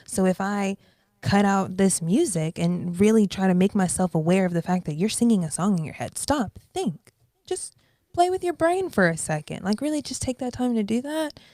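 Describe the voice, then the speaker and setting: A woman in her twenties, a podcast guest, talking in a reflective, animated way with many fillers. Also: medium pitch, normal speed, dry recording, studio quality. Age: 20s. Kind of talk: casual interview chat.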